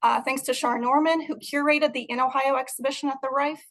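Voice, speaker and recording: High-voiced woman presenting her art. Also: steady tone, a little fast, fairly bright tone. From Moldova. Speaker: guest artist. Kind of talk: prepared presentation talk. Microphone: video call.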